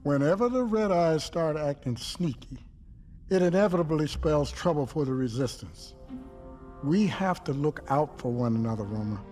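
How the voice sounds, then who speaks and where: A man's voice: fairly high pitch, expressive, moderate pace, dark tone, faint music behind, natural voice. An actor playing a wordy, fatherly resistance leader in a video game, with florid, folksy old-fashioned phrasing. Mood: encouraging, earnest. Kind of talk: video game cutscene acting.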